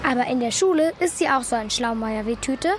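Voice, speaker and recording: Woman acting schoolgirl best friend, very high voice, cheeky, blunt, nosy, children's radio play. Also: lively intonation, brisk, a little hiss or hum, natural voice.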